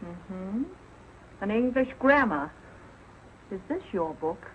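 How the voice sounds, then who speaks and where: Strict teacher, prim, clipped, formal, 1940s sitcom, muffled sound, faint background hiss. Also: female voice, mid-range voice, lively intonation, slow pace. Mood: stern. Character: strict, prim woman night-school teacher. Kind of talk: 1940s television sitcom acting. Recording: muffled, hissy old 1940s broadcast.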